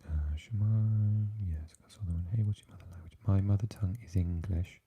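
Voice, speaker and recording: A man with a Swansea Welsh accent, chatting softly with live viewers and often dropping into an unvoiced whisper. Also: normal speed, lo-fi sound, slight background noise. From Wales. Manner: mixes unvoiced whispering with low, deep speaking. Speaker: ASMR live streamer reading chat. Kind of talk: soft-spoken live chat with viewers.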